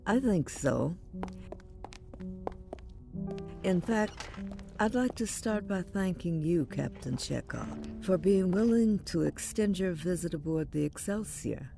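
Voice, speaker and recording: An elderly female starship captain, played by a woman in a sci-fi audio drama. Her voice is lowish and gravelly, with slow, dramatic phrasing, wry and defiant. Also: lively intonation, music in the background. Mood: composed authority. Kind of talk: science fiction audio drama acting.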